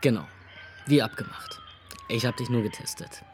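A man's voice: mid-range voice, normal speed, close to an everyday voice. An actor playing a cocky, laid-back young man who talks in casual slang in a radio play.

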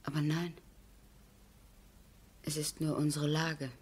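An actress playing a composed, gentle but worried aristocratic aunt in a 1970s German radio play, restrained and genteel. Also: female voice, sits low, flat delivery, slow, breathy edge, full character voice. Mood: gently troubled.

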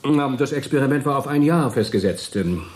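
A man acts out a smug space program boss in a radio play. He talks in a hearty, patronizing way, and his intonation is very animated. The coolness underneath the joviality is that of someone in charge. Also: mid-range voice, brisk, natural voice. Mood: matter-of-fact. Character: jovial, smug male space program chief, coolly condescending. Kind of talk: German radio play acting.

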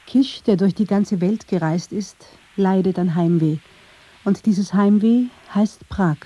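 A woman narrates a radio feature from a script. Her voice is very dark and soft-edged, with some huskiness. The sound is a little muffled. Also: medium pitch, a little slow, breathy edge.

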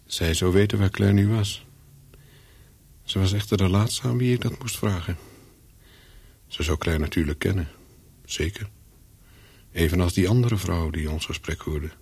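An actor playing a wary, calculating spy on the run, narrating a radio play in terse sentences with a husky voice. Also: male voice, low voice, normal speed, pauses often, a little mellow, no room sound, full character voice. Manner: terse, brooding, clipped sentences. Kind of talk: first-person radio play narration with dialogue.

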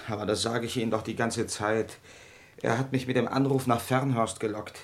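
A man's voice: medium pitch, fairly even intonation, moderate pace, natural voice. A man playing an exasperated, defensive husband under suspicion in a German crime radio play. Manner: defensive, irritable.

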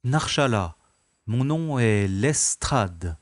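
A man's voice: medium pitch, animated, drawn-out words, no echo. A man playing an ironic, nonchalant special agent who narrates his own adventure in an audio drama. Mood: calm. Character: wry, cocky special agent, adult man. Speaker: narrator-hero.

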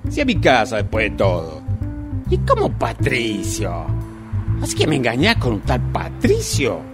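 Actor as a grumbling tipsy Argentine husband, animated. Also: male voice, mid-range voice, measured pace, vocal fry, over music, exaggerated voice. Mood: accusing. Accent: Argentine Rioplatense Spanish. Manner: muttering, exasperated complaining to himself. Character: grumbling tipsy husband stumbling home at night. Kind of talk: radio drama monologue.

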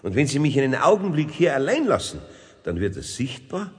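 An actor playing a good-natured, bashful middle-aged Bavarian master carpenter in a children's radio play, hesitant and plain-spoken. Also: male voice, medium pitch, sing-song, a little fast, big-room sound, close to an everyday voice. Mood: earnest. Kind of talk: 1970s German children's radio play acting.

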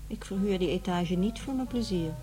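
A woman's voice: medium pitch, normal speed, mellow, faint music behind, lightly acted. An actress playing a weary divorced mother in middle age in a radio play, matter-of-fact and reserved. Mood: reproachful, firm. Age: middle-aged. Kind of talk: radio play acting, naturalistic dialogue.

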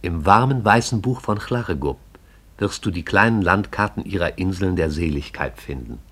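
A man narrating a radio play in a measured, poetic manner. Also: low-pitched, lively intonation, a bit bright, husky.

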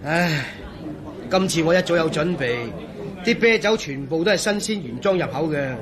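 Easygoing engineer friend male actor, Hong Kong Cantonese radio drama. Slightly high voice, chummy and expressive, over clear crowd chatter. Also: normal speed, close to an everyday voice. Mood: genial, chatty.

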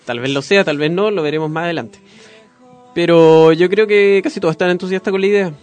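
A man's voice: high-pitched, fairly quick, a little mellow, soft background music. A young man with a Chilean accent, a filmmaker chatting as a guest in a casual radio interview, playful and self-mocking. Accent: Chilean Spanish. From Spain.

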